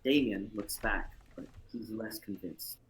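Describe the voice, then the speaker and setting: Casting: young male narrator for screenplay read-through, fairly low voice, home livestream with faint noise. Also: a little slow, rough recording. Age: young adult. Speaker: host and narrator.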